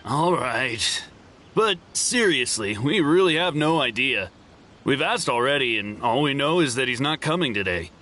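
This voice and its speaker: A man's voice: mid-range voice, expressive, unhurried, bright, forceful tone. A man voicing a defensive young man in a game, talking in a brusque, defensive way. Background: game music.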